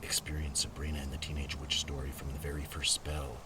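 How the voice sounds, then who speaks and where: Very deep, hushed ASMR man outdoors. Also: little pitch movement, brisk. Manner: hushed, often slipping into whispers. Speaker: ASMR video host. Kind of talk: ASMR, soft-spoken show-and-tell with some read-out text. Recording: distant mic, loud outdoor background noise. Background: breeze and outdoor ambience.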